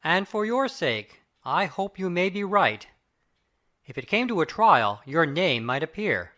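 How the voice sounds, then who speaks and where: A grave, middle-aged Victorian lawyer, acted by a man for a dramatic audiobook. He speaks formally and probingly, with a very lively swing in pitch. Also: unhurried, exaggerated voice. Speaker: audiobook cast reader. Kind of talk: dramatic reading of Victorian fiction.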